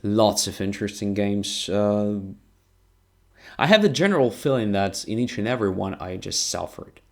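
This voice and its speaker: Man, Ukrainian accent, lowish pitch, very creaky voice, live game commentary, halting think-aloud phrasing. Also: animated, darkish tone. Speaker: chess streamer and international master.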